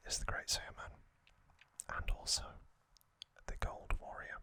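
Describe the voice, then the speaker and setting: A man whispering an unhurried ASMR recap, with soft pauses and frequent ums. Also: dry recording. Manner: unvoiced whisper, slow and relaxed with frequent ums. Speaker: ASMR channel host.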